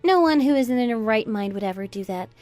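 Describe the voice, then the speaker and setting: A woman's voice: a little high, expressive, fairly quick, a little mellow, close to an everyday voice. A woman playing a fed-up, sarcastic daughter-in-law in a melodramatic radio drama. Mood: cold, sarcastic. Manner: sarcastic, taunting. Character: scornful, fed-up adult daughter-in-law, Anna, defiant and mocking. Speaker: voice actor in an online radio drama.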